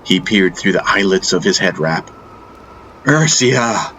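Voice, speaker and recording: A man reading a first-person fantasy audiobook as narrator, in a solemn, deliberate, dramatic style. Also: low voice, level tone, fairly bright tone, slight background noise, rough recording. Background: faint music.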